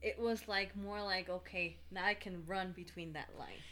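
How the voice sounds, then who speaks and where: A woman's voice: mid-range voice, steady tone, moderate pace, a bit bright. A woman co-hosting a podcast in casual chat, mixing Spanish phrases into her English and affirming her partner often. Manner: affirming, frequent "uh-huh", mixes Spanish in. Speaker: podcast co-host.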